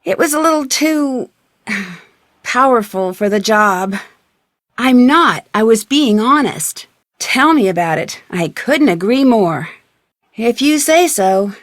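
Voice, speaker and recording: An actress playing a polite, inquisitive young woman detective in a video game, speaking in a clear, friendly, conversational way. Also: a little high, dry recording, studio quality. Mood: mildly wry. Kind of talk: video game dialogue acting, isolated conversational lines.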